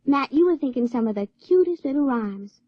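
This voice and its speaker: A woman's voice: high-pitched, animated, brisk, no room sound. A woman acting in a radio drama as a naive, spirited young country woman, with folksy rural speech. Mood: coy, knowing. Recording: dry, dull radio-drama recording.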